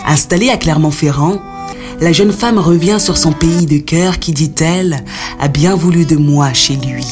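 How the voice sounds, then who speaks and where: A woman with a very low voice narrates a short documentary introduction. Her delivery is measured and composed. Clear music runs under her words.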